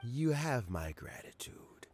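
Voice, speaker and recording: An actor playing a wise, weary old mentor in a video game, measured and gently philosophical. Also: male voice, medium pitch, big pitch swings, soft background music. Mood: weary, resigned. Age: older.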